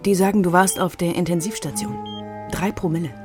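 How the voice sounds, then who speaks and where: An actress playing an earnest, concerned former girlfriend in a radio crime drama, soft-spoken and hesitant. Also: lowish voice, moderate pace, over music, natural voice.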